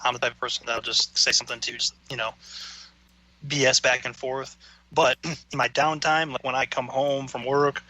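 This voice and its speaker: A man chats easily on a talk show at a middle pitch. His tone stays flat and even, yet it sounds very bright and sharp. The pace is unhurried and casual. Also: poor audio. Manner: relaxed and easygoing. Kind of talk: casual conversation.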